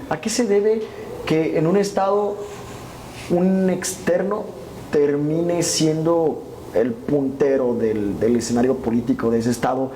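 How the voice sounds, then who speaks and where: A man's voice: a little high, dark tone. Mexican man moderating a panel debate. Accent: Mexican Spanish. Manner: asks long rhetorical questions. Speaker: debate show host. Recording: echoey studio with background noise.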